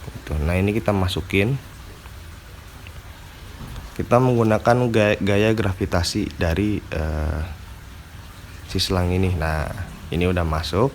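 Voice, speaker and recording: A man's voice: deep voice, steady tone, a little slow, pauses often, slight background noise. A man with a stuffy nose from a cold, casually explaining aquarium care in a slangy, filler-heavy vlog style. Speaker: aquarium hobby vlogger. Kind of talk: casual tutorial chat.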